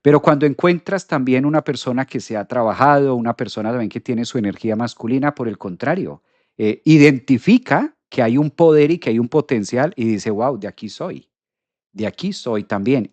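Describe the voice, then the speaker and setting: A man talks straight to his viewers on a live astrology stream. His pitch sits in an ordinary middle range, and he repeats phrases for emphasis. The sound is dry and close, with no room echo. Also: moderate pace. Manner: animated, repeats phrases, addresses audience directly. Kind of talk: live stream talk reading viewer comments.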